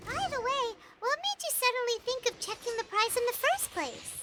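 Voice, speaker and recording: A woman voicing a tiny, childlike fairy companion in an anime game, chirpy, excitable and inquisitive. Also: extremely high pitch, a little fast. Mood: curious. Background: loud background music.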